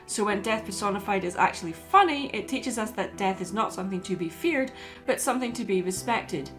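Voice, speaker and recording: A woman hosting and narrating a scripted video essay. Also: mid-range voice.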